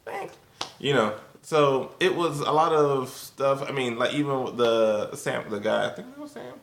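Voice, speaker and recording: A man's voice: medium pitch, lively intonation, a little slow. A man talking casually and a bit loosely to viewers as he reviews, in an informal conversational way. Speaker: video reviewer. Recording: roomy, echoing sound.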